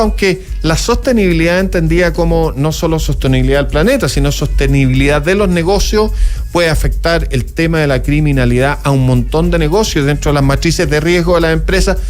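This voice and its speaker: A radio host with a fairly high voice talks in long, wandering turns, circling back on himself with asides. Faint music sits under his voice throughout the segment. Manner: rambling, many asides and restarts. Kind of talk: casual radio interview.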